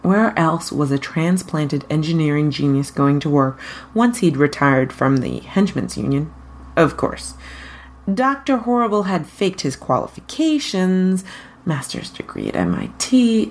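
A woman reading fan fiction aloud as an expressive scripted narration. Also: sits low, measured pace.

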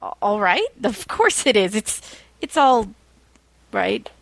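A woman reads as a shy, lovestruck young man in a story. He is awkward and insecure, hesitating and stammering through his lines in a creaky, dry-recorded voice. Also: medium pitch, big pitch swings, slow, no echo. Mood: flustered.

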